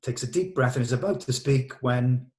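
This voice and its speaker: A man's voice: medium pitch, normal speed, a little mellow. A man giving scripted narration, reading out the stage directions at a script table read.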